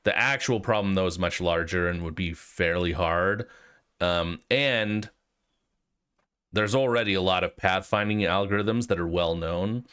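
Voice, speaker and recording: A man's voice: low voice, measured pace, a bit bright, clean tone. An American man with a cold, explaining things casually and informally as the host of a tutorial video, hesitating as he thinks aloud. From United States. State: has a cold. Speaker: host of a programming walkthrough video.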